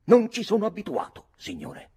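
A man's voice: high-pitched, wildly swooping pitch, moderate pace, dark tone, breathy edge, voice pushed up, exaggerated voice. A man voicing a big, haughty English army captain in a story, sarcastic and ceremonious. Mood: exasperated.

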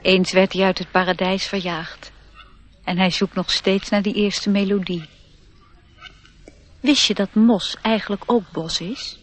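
A woman plays a knowing nature elf for radio drama. The voice sits at a middle pitch, teaching as it goes and gently superior. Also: fairly even intonation, unhurried, long pauses, close to an everyday voice. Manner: instructive, slightly chiding. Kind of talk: radio play acting.

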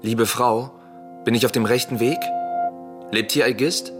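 An actor playing a courteous, composed stranger in a classical radio drama, speaking in a formal, measured manner. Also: male voice, medium pitch, steady tone, soft background music, lightly acted. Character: courteous, composed male stranger bearing an urn.

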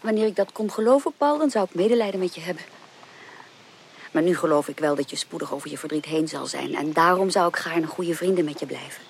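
A posh young lady in an old radio play, candid n firm, kinda low voice, bit of noise. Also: expressive, quick pace. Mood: firm, earnest. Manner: formal, firm, reproachful. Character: proud, candid young upper-class woman rejecting a suitor. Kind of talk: 1980s Dutch radio drama acting.

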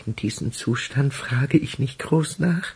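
A pitiful little addict in a crime radio play. His voice is badly husky and crackles with fry. He drags out his words, halting and defensive. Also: mid-range voice, a little mellow, exaggerated voice. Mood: weary, defensive. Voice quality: husky, strained. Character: small drug-addicted man, pitiful, under questioning. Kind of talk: German radio crime drama acting.

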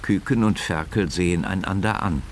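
Deep, expressive male storyteller. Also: moderate pace, a little hiss or hum. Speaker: narrator. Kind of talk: scripted children's story narration. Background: music.

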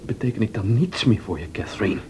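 An actor playing a hurt, jealous, mild-mannered gentleman husband in a radio drama. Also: lowish voice, animated, fast, lightly acted. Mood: hurt, reproachful. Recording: noisy old radio play recording.

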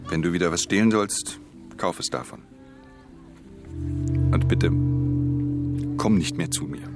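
An actor playing a world-weary, guarded pickpocket in a radio play, speaking and narrating in a laconic, restrained way. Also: male voice, sits low, a little slow, music in the background. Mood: matter-of-fact, resigned. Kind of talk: radio drama dialogue and introspective first-person narration.

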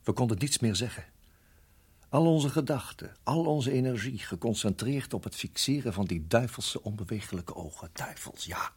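An actor playing a tense husband in a radio drama, speaking in a hushed, halting, urgent way with a husky voice. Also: male voice, medium pitch, animated. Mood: haunted, dramatic narration. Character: tense husband guiding wife through deadly snake danger. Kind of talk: radio drama acting and narration.

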